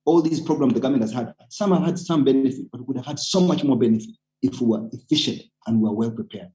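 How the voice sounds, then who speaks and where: A man's voice: mid-range voice, fast, mellow, poor audio. A man with a Ugandan accent speaking as a webinar panelist, earnest and persuasive, urging people on with emphatic points. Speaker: panelist, community organizer. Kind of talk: webinar talk, personal account with exhortation.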